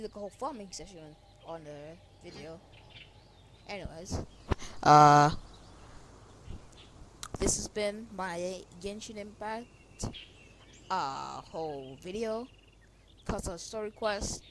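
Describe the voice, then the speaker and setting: A woman's voice: medium pitch, a little hiss or hum. A young woman giving casual gameplay commentary, slangy and rambling as she thinks aloud. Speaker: gaming video creator. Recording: loud game music behind voice.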